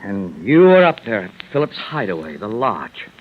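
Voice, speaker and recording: An actor playing an evasive, nervous male playwright in a 1950s radio drama, speaking haltingly. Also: on the low side, sing-song. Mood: flustered. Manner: hesitant, halting. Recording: muffled noisy vintage radio recording.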